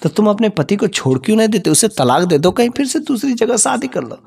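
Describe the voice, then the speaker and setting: A man's voice: sits high, big pitch swings, fast, creaky, darkish tone, husky, voice pushed up, full character voice. A man voicing a blunt, concerned young female friend in a story, urging directly.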